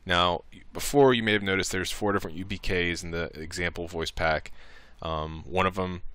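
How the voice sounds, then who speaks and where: Deep-voiced man giving a software tutorial. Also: steady tone, normal speed, smooth, no room sound. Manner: informal, improvised, talking through each click. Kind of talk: casual step-by-step screen tutorial.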